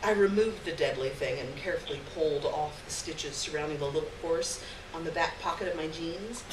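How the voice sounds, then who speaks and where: A woman's voice: low voice, fairly quick. Woman reading fiction aloud, echoey distant sound. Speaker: reader of a short story on stage. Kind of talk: expressive live literary reading to an audience. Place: indoor stage reading before a live audience. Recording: echoey, noisy live room, distant mic.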